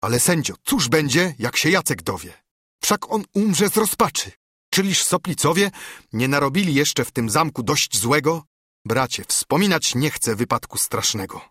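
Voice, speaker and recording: A man's voice: mid-range voice, lively intonation, normal speed, fairly bright tone, breathy edge, no room sound, clean studio sound. A man reading as an earnest, admonishing monk. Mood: pleading. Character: brooding, urgent monk, scolding and pleading with a friend. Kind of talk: dramatised audiobook reading of verse.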